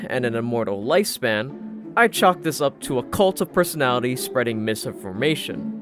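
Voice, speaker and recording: A man narrating a first-person story from a script in a dry, sardonic manner. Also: medium pitch. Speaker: audiobook narrator.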